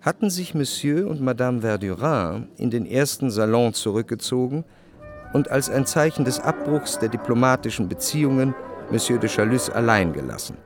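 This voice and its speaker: Measured male narrator over faint music. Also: mid-range voice, expressive. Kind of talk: scripted literary narration in radio drama.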